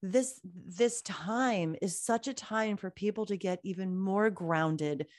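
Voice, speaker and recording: A woman hosting a podcast interview, chatting casually with many fillers and drawn-out words. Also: mid-range voice, darkish tone, no room sound, studio quality. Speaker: podcast host.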